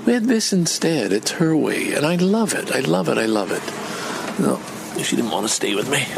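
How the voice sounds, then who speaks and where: A man's voice: medium pitch, very animated, normal speed, gravelly, background noise. An older man from Canada in a rambling personal vlog, meandering and chatty with many restarts. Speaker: vlogger.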